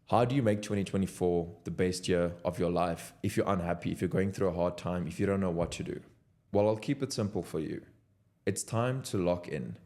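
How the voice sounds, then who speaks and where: A young man speaks in a deep, low voice. He talks to the camera from his desk at home, earnest and motivational. He sounds direct and unscripted. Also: darkish tone. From South Africa. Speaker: content creator. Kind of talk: unscripted talking-head vlog.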